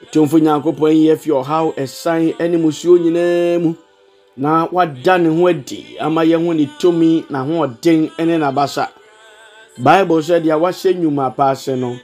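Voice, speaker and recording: A man's voice: fairly high pitch, steady tone, unhurried, a little mellow, soft background music. A Ghanaian man preaching a sermon, switching between Twi and English, earnest and exhorting, often repeating words. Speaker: pastor.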